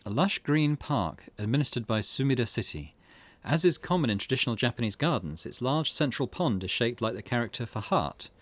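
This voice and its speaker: A man's voice: medium pitch, expressive, a little fast, few pauses, dry recording. A man doing let's play narration, reading game text aloud and muttering his own reactions between passages. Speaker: gaming video host.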